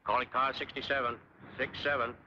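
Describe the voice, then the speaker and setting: Through a muffled, noisy police radio, an official dispatcher. He calls out in a flat monotone, in 1940s serial style. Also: mid-range voice, fairly quick. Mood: impersonal. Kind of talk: 1940s film serial acting.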